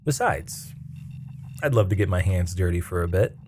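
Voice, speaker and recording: Seasoned space crewmate, played by a man: lowish voice, teasing, breathy, chatty ASMR roleplay delivery over clearly noisy ambience. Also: expressive, moderate pace, a little mellow. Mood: flirty, teasing. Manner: teasing, breathy, dropping into near-whispers. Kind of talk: intimate ASMR roleplay acting.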